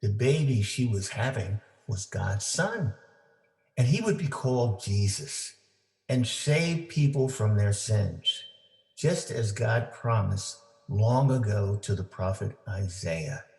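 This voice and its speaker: A man reading a children's story aloud for families, with deliberate, simple phrasing for young listeners. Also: on the low side, lively intonation, gravelly, a little mellow. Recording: roomy, echoing indoor sound.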